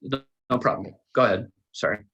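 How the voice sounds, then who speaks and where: A man with a husky voice, casually giving directions to a group on a video call. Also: medium pitch, fairly quick, sharp tone, lo-fi sound. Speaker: table read organizer. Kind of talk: casual chat.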